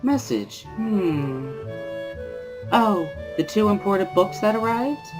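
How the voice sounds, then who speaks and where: A performer voicing a composed, caring schoolgirl in a dramatic reading, with gentle and courteous manners. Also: medium pitch, sing-song, slow, music in the background, voice pushed up. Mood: composed, polite.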